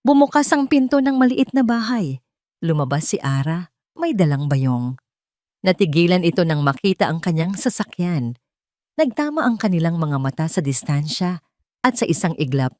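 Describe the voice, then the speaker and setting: A woman's voice: sits low, lively intonation, normal speed, a little mellow, no room sound, studio quality. A woman reading a scripted dramatic story as its narrator.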